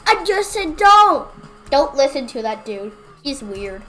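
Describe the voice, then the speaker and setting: High-voiced woman gamer, giggly and playful, bit of music behind. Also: very animated, measured pace, bright, forceful tone. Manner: giggly, playful, defensive banter. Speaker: gaming streamer. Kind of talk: casual gaming commentary.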